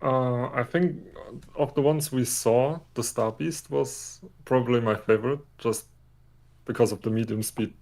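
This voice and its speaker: A man's voice: medium pitch, unhurried, a little mellow, a little hiss or hum. A man speaking casually as a guest being interviewed on a livestream. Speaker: livestream interview guest, skin maker and racer. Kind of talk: casual chat.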